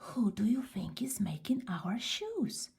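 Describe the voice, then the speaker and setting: A woman voicing a humble, easily amazed shoemaker from a children's storybook, in a wondering, expressive manner. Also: mid-range voice, slow, creaky, exaggerated voice. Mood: curious whisper.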